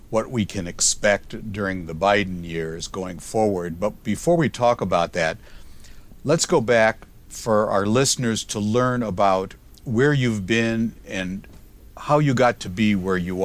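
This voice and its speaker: A middle-aged man with a fairly low voice hosts an academic podcast. He opens with a measured, scripted introduction and then moves into easy conversational questions with his guest. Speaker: podcast host, professor. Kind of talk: formal podcast interview.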